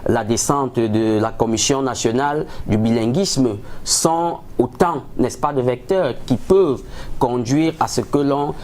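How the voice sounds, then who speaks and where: Man, medium pitch, political analyst, formal answers, measured and hesitant, frequent rhetorical tags. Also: a little mellow. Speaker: political analyst, studio guest.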